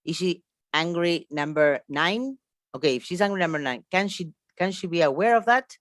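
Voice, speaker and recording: A woman's voice: lowish voice, lively intonation, normal speed, no echo, studio quality. A woman with an Argentine Spanish accent presenting a teacher-training seminar, speaking spontaneously and emphatically, repeating phrases and checking in with short questions. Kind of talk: online seminar lecture, spontaneous.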